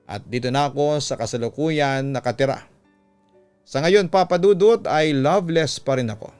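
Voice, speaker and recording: A man reading a scripted personal story as a radio-style narrator, with a clear, smooth voice. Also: fairly high pitch, normal speed, a little mellow. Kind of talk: scripted narration of a listener's letter, then advice. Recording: background music under voice. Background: music.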